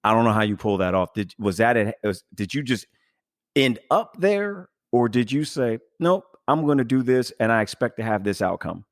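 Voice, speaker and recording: A man's voice: medium pitch, sing-song, normal speed, no room sound, clean studio sound. A man hosting a podcast in casual, reflective conversation, speaking earnestly and emphatically as he searches for his words. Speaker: podcast host.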